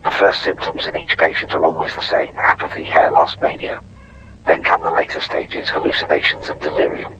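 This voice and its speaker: Actor as very deep, grave asylum doctor on tape. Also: male voice, monotone, a little fast. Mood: grim. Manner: solemn, foreboding, formal. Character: grave, haunted older asylum doctor dictating a taped confession. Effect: damaged old cassette tape. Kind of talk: scripted horror audio-log narration. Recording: muffled, very noisy damaged tape.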